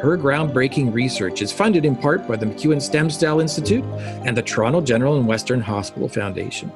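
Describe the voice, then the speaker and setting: A man hosting a podcast, reading a scripted introduction and then interviewing a guest in a polished, measured way. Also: medium pitch, lively intonation, music in the background. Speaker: podcast host.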